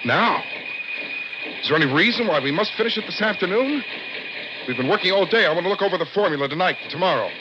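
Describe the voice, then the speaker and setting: An actor playing a worn-down, resentful lab assistant in a radio drama, with a strained, protesting delivery. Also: male voice, high-pitched, expressive, fast. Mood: anxious, weary. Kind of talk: 1960s radio drama acting. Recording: muffled, very noisy old radio recording.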